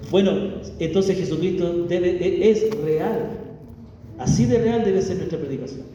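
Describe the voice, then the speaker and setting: High-voiced Chilean pastor in an echoey hall. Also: male voice, dark tone, a little hiss or hum. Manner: chatty, joking, full of rhetorical questions to the congregation. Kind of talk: conversational sermon. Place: church hall. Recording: echoey church hall from far off, dull.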